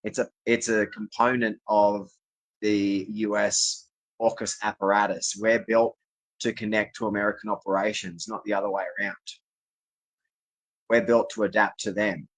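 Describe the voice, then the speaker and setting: A man's voice: fairly low pitch, little pitch movement, normal speed, low-quality recording. A man from Australia giving a rambling, insistent personal monologue to viewers, often repeating his declarations. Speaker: vlogger speaking to camera.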